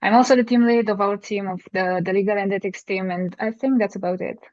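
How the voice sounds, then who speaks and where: Flat-voiced young woman on dull call audio. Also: medium pitch, fast talker, no room sound. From Romania. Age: 20s. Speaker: law student and team lead. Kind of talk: casual meeting self-introduction.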